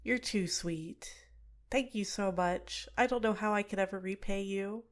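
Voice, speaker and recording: Soft-spoken young villainess, manipulative, close-mic ASMR; a woman voices her. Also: mid-range voice, a little slow, natural voice. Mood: shy, apologetic. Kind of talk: ASMR roleplay.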